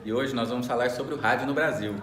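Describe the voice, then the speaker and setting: A man's voice: medium pitch, fast, a bit bright. A man with a Brazilian Portuguese accent co-hosting a student radio program in a semi-scripted presenting style. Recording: roomy echo, faint background noise.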